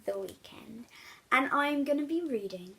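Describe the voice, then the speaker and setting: Female children's story reader, higher pitch, bright forceful tone, expressive delivery. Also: unhurried, vocal fry, breathy edge. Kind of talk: expressive read-aloud storytelling for young children.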